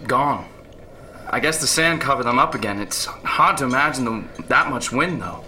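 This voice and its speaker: An earnest young man in a radio play. His voice is very bright and mid-pitched, a little hesitant, over slight noise. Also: expressive, moderate pace. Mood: earnest, puzzled. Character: earnest adult son on an expedition. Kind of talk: radio drama acting.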